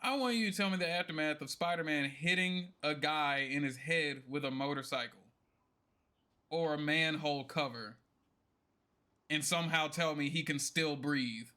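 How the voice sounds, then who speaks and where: A man's voice: a little high, moderate pace, sharp tone. A man giving casual live stream commentary on a game, joking and swearing as he rambles through his opinions.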